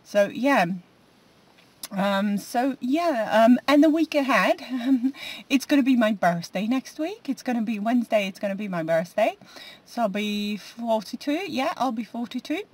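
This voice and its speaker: A woman's voice: a little high, animated, a little slow, a little mellow. A woman in her forties chatting casually to camera from inside a parked car, rambling with many fillers and restarts. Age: 40s. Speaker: vlogger. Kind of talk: casual food diary chat.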